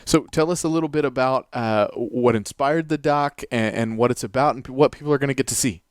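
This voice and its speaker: A man's voice: mid-range voice, brisk, clean tone, dry recording, clean studio sound. Enthusiastic male radio host. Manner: enthusiastic, lots of "uh" fillers. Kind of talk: upbeat live radio interview.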